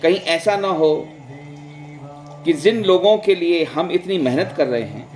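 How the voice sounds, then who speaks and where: A man's voice: fairly high pitch, unhurried, darkish tone, soft background music. A man narrating in a conversational, reflective way, speaking directly to listeners and mixing in English words. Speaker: vlog host and storyteller. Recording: roomy, echoing sound.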